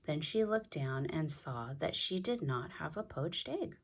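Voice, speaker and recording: A woman reads a children's story aloud. Her voice sits a little low as she narrates. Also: lively intonation, measured pace, slight background noise. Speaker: storybook reader.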